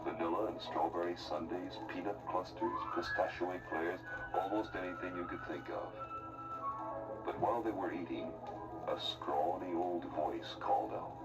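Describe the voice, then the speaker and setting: A man's voice: low voice, moderate pace, far from the mic, loud music behind the voice, rough recording. A man narrating a scripted children's tale in a theatrical storytelling style.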